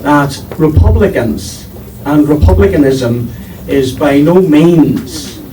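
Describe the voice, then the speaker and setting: A man giving a lecture in a slow, deliberate manner with emphatic repetition. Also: a little high, dark tone. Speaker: panel speaker. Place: lecture room before an audience. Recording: distant mic, faint noise.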